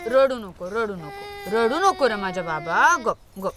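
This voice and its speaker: A woman's voice: mid-range voice, very animated, a little slow. An actress playing a caring village woman who coaxes and soothes a crying boy, over noisy outdoor film sound. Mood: soothing. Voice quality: soothing, coaxing. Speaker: village mother. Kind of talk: film drama acting.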